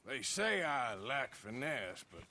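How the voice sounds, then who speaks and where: An actor playing a gruff, laconic cowboy outlaw in a game. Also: male voice, mid-range voice, sing-song, takes it slowly, full character voice. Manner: dry, laconic. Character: gruff male outlaw, rough drawling cowboy. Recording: loud game music behind.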